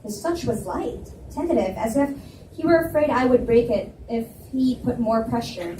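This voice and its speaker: A woman's voice: fairly high pitch, normal speed, a little hiss or hum. Woman reading aloud in echoey room. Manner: expressive narration with dialogue. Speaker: reader, first-person narrator. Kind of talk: live reading of romance novel. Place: library event room. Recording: echoey library room, mic at a distance.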